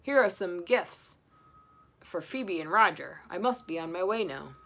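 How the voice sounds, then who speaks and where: A woman voicing a jolly old Santa for a storybook, with loud, hearty, drawn-out delivery. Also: lowish voice, lively intonation, vocal fry, full character voice.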